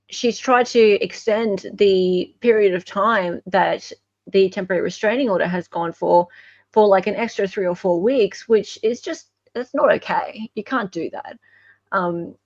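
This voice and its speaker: A woman's voice: mid-range voice, no room sound, dull audio. A woman with an Australian accent hosting an online show, giving casual, emphatic commentary and repeating phrases for emphasis. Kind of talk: casual commentary on court documents and recordings.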